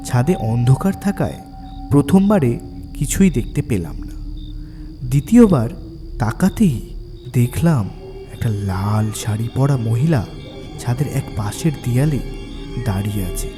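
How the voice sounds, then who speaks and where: A man's voice: mid-range voice, measured pace, pauses often. A man narrating a scripted first-person horror story in a suspenseful, dramatic way. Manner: suspenseful, expressive storytelling delivery. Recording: long reverb, faint music bed.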